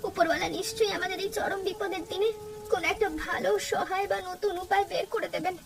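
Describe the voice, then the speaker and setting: A woman's voice: extremely high pitch, steady tone, fast, creaky. A woman voicing an ailing, fretful village wife in a cartoon story. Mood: plaintive. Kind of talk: Bengali cartoon story dialogue.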